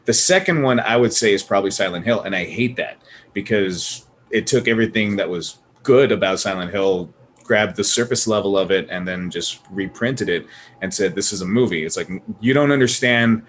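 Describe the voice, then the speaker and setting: A man's voice: lowish voice, normal speed, fairly bright tone. A man in a casual panel chat, talking emphatically and opinionatedly and swearing freely. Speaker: podcast panelist. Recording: clear background noise.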